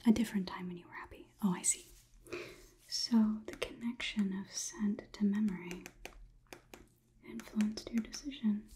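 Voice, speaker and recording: A woman's voice: medium pitch, fairly even intonation, mellow, lightly acted. Woman playing a whispering, condescending robot overlord. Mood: pitying. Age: young adult. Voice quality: breathy whisper. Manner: slow, soothing, clinical, patronizing. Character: polite, condescending female AI robot overlord examining humans. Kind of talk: whispered ASMR roleplay. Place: small indoor room.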